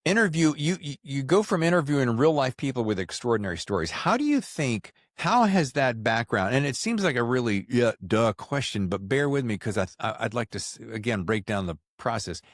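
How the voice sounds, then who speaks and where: A man's voice: mid-range voice, sing-song, brisk, few pauses, no echo, studio quality. A man hosting a casual interview, telling stories in a folksy, informal way. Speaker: podcast host. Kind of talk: casual conversational interviewing, storytelling.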